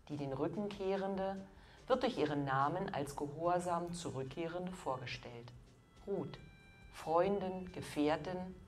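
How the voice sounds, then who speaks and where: A woman in her fifties delivering a scripted sermon in measured, deliberate phrases with pauses. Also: low voice. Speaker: preacher.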